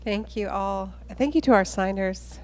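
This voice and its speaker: A woman's voice: medium pitch, measured pace, big-room sound. A woman moderating a conference panel, asking long, thoughtful questions. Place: conference plenary hall.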